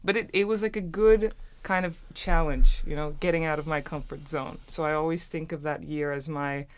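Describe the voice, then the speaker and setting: A woman's voice: deep voice, fairly even intonation, moderate pace. A student woman in her twenties from Turkey, a native German speaker, telling her story in a chatty way with lots of restarts. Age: 20s. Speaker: student co-host. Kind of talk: casual conversational storytelling.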